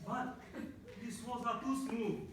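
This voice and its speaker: A man's voice: very high voice, fairly even intonation, measured pace, fairly bright tone. A man playing a fussy, gallant young prince, declaiming theatrically in a comic children's fairy tale. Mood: admiring. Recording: echoey room from far off, noisy.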